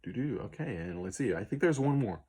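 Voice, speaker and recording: Late-20s male musician vlogger, low, slightly hoarse voice, loose and unscripted, spells out names slowly. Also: animated, a little mellow. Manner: easygoing, rambling with many ums and spelled-out names. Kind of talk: casual Q&A vlog.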